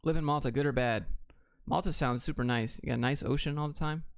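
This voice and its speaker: A man's voice: medium pitch, fast, no echo. Blunt American man, clean dry sound. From Atlanta, Georgia, United States. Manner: blunt, clipped, offhand answers. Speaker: livestream host. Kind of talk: casual livestream chat answering viewer questions.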